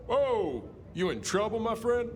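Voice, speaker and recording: An actor playing a touchy, sardonic veteran police officer in a video game, bantering bluntly, with long reverb and music around the voice. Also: male voice, high-pitched, sing-song, a little slow, slight background noise. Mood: mock alarm. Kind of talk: video game dialogue acting.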